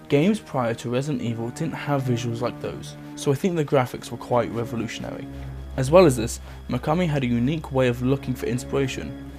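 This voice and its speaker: A man hosting a video essay, reading scripted documentary-style narration. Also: mid-range voice. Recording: music under the voice. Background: background music.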